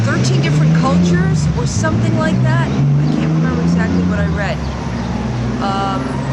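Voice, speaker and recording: A woman from Wisconsin rambling a little unsurely in a casual street interview. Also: medium pitch. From Wisconsin, USA. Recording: loud street noise behind.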